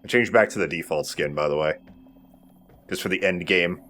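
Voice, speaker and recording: A man's voice: low voice, moderate pace, fairly bright tone. A man casually commentating as he plays a video game, rambling, joking and swearing. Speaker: let's play host, playing the game. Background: game soundtrack music.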